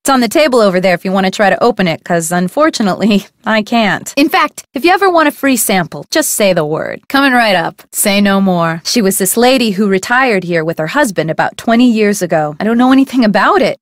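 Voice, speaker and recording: A woman's voice: mid-range voice, animated, a little fast, few pauses, dry recording, studio quality, natural voice. An actress playing a friendly young woman who runs a harbor shop in a video game, talking in a breezy way. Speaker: game character.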